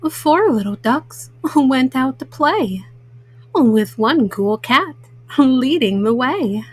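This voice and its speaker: A woman storyteller reading a children's picture book at bedtime, slowly and simply, as a mama to young listeners. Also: high voice, animated, husky. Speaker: storyteller host.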